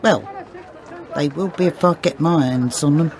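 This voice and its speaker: An actress playing a cantankerous, middle-aged market stallholder in a radio drama, with a working-class English accent, scolding and indignant. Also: female voice, low voice, moderate pace, a little mellow, slight background noise. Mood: defensive, aggrieved. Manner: scolding, indignant, wheedling when it suits. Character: cantankerous, aggrieved female market stallholder, sharp-tongued and evasive. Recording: radio drama, busy street crowd behind.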